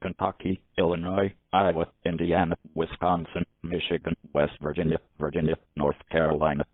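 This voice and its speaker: Man, deep voice, flat delivery, formal emergency announcer, thin phone-like sound. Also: normal speed, no room sound. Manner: formal, impersonal. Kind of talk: scripted emergency broadcast message. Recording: phone-like narrow band audio.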